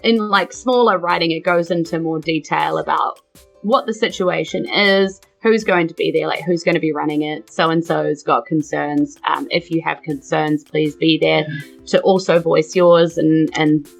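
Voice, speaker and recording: A woman's voice: deep voice, fairly even intonation, moderate pace, vocal fry, soft background music. A woman with a New Zealand accent, casually narrating a tabletop game as game master.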